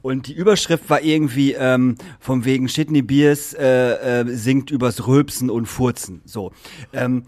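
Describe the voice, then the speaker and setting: A man's voice: mid-range voice, fairly even intonation, unhurried, a little mellow. A man, ranting in a casual podcast chat, swearing freely and filling gaps with hesitations. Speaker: podcast co-host.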